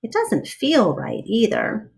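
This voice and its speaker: A woman reading a children's picture book aloud expressively, with deliberate, dramatic phrasing and asides to young listeners. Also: on the high side, wildly swooping pitch, vocal fry, darkish tone. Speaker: read-aloud storyteller.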